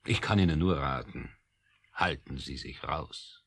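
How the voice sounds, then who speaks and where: Very deep, gravelly, guarded man. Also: a little slow, fairly bright tone, full character voice. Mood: grave, warning. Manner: terse, cautionary. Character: gloomy, guarded male colleague giving warnings. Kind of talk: 1980s German radio drama acting.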